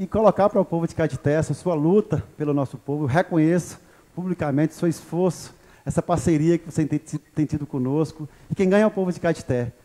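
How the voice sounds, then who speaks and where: A man's voice: a little high, warm tone. A man, a mayor hosting an online interview show, speaking formally and courteously. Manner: formal, courteous, repetitive praise.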